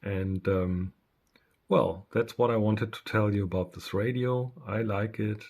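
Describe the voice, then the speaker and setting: A man giving a casual product review in a hesitant, halting, unscripted way. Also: on the low side, steady tone, vocal fry, darkish tone. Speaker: radio reviewer.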